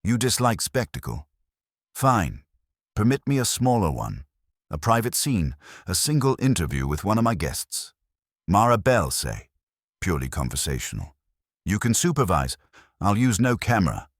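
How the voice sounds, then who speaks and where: A man's voice: on the low side, big pitch swings, moderate pace, no room sound, clean studio sound, voice pushed up. A man voicing a suave, vain, theatrical artist and schemer with smug, ornate phrasing, in an audiobook mystery. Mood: wheedling.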